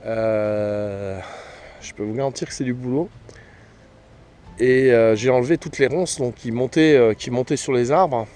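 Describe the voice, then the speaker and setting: A man's voice: mid-range voice, measured pace, darkish tone. A man giving a casual, rambling hobbyist vlog commentary, hesitant and often trailing off with little tag words. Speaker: amateur CB radio hobbyist and channel host.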